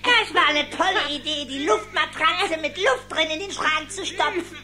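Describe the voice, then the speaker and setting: Squeaky, cheeky boy duckling. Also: moderate pace, music in the background. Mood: bickering. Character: cheeky young boy duckling, sheepish nephew. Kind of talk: cartoon radio-play acting.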